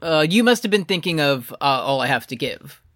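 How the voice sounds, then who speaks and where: Female podcast co-host, low voice with a lively pitch, sarcastic, dry close sound. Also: normal speed, no room sound. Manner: animated, laughing, sarcastic. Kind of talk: casual music review chat.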